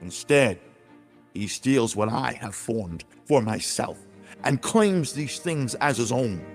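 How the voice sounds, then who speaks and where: A man reading scripted, dramatized audiobook narration in a solemn, emphatic, deliberate storytelling manner. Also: mid-range voice. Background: music under the voice.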